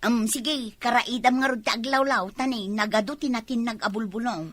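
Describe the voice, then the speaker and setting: High, bright gossipy village wife played by an actress. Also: female voice, fairly even intonation, moderate pace, no room sound. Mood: bossy. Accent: Ilocano. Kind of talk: Ilocano comedy radio drama acting.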